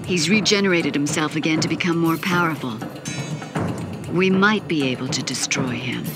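An actress playing a cool, cynical wind demon in an anime game, aloof and sardonic. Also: female voice, low-pitched, moderate pace, over loud music, close to an everyday voice. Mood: cool, guarded.

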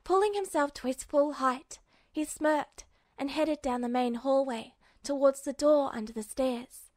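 A woman's voice: high-pitched, a little slow, a little mellow, dry recording. A woman reading a story aloud as a scripted fiction narrator. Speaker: audiobook narrator.

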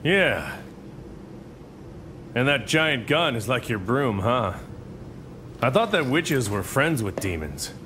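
Gruff soldier in a game cutscene, animated, engine noise behind him. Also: male voice, takes it slowly, pauses often, heavily acted. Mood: earnest, curious. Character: gruff, friendly military squad soldier. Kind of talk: video game cutscene acting. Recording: loud aircraft rumble behind.

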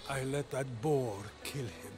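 A man's voice: medium pitch, unhurried, music in the background, lightly acted. A man playing a brash, petulant king of the gods in a comic video game, flippant and bombastic. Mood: sheepish, defensive.

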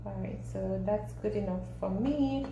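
A woman giving a casual, step-by-step home recipe demonstration in simple, unhurried instructional talk. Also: medium pitch. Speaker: home cooking vlogger. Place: small home kitchen, indoors. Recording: roomy echo, clear background noise.

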